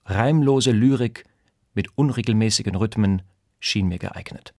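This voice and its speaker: A man's voice: fairly low pitch, unhurried, a little mellow, dry recording. A man giving a scripted literary reading of quoted prose in a studio. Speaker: voice actor reading quotations. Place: dry studio.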